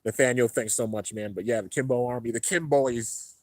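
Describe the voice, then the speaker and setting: Young male streamer, relaxed rambling chat, slightly congested, dry close sound. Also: a little fast, dry recording. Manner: laid-back, rambling, heavy on fillers. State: a little congested. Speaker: YouTube host reading live chat. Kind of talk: casual livestream chat. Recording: low-end home stream setup.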